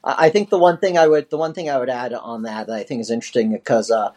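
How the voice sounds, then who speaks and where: A man's voice: medium pitch, fairly even intonation, fast talker, warm tone. Chatty man with a hesitant delivery. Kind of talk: casual market commentary.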